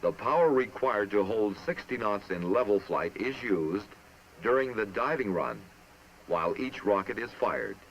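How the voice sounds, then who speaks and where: A man narrating a military training film in a formal, measured, instructive manner, reading from a script. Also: lowish voice, very animated, a bit bright. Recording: muffled, noisy old film soundtrack.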